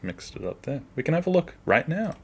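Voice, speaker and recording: A man's voice: fairly high pitch, sing-song, brisk, a little hiss or hum. A man giving a casual behind-the-scenes walkthrough as an animator, offhand and self-deprecating, often trailing off. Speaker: animator, video creator.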